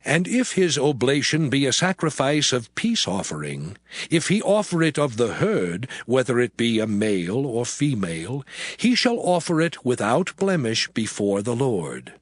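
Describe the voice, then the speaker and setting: A man narrating a dramatized audio Bible in a solemn, formal manner with measured phrasing. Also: mid-range voice, very animated, no room sound. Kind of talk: dramatized scripture reading, scripted narration. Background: background music.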